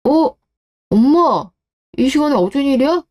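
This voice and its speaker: A woman's voice: sits high, lively intonation, unhurried, darkish tone. Woman doing a laid-back son in a radio drama, casual, kinda defensive, stubborn. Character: easygoing adult son and husband, stubbornly self-reliant. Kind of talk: scripted Korean online radio drama.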